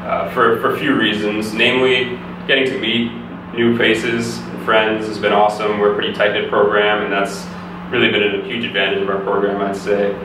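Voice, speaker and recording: A young man from Canada, a physiotherapy student, explaining things in a steady, easygoing way in an interview. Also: fairly low pitch, normal speed. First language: English. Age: young adult. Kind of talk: casual interview answers. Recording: echoey room, distant mic, background noise.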